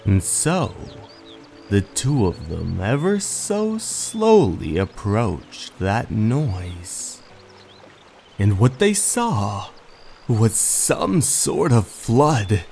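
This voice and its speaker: Male horror story narrator and host, very unhurried, theatrical, animated intonation, music bed beneath. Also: medium pitch, creaky. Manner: dramatic, drawn-out storytelling delivery. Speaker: narrator and host of manga readings. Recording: music under the voice. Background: background music.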